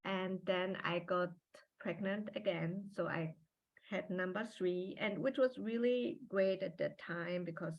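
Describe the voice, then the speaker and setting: A woman in her forties, a native German speaker talking in English as a podcast guest, reflective and halting with many pauses and fillers. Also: on the low side, dull audio. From Austria and Taiwan. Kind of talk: personal interview conversation.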